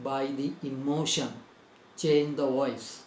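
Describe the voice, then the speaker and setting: A man's voice: medium pitch, little pitch movement, slow, darkish tone, echoey room, slight background noise, rough recording. A man with an Indian English accent teaching a grammar lesson. He repeats phrases for dictation and spells words out letter by letter.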